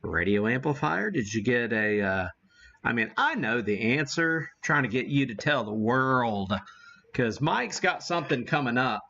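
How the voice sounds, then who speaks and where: A man hosting a casual, unscripted live radio-hobby demo, thinking aloud in a halting way as he works the equipment. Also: medium pitch, expressive, creaky, pushed and bright, dull audio. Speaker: livestream host, amateur radio operator.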